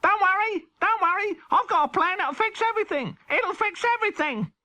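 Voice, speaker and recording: A man voicing an excitable rabbit inventor in a children's storybook, hurried and repeating himself. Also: extremely high pitch, pitched above the performer's own voice, heavily acted. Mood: eager, reassuring. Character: excitable male cartoon rabbit inventor, eager sidekick.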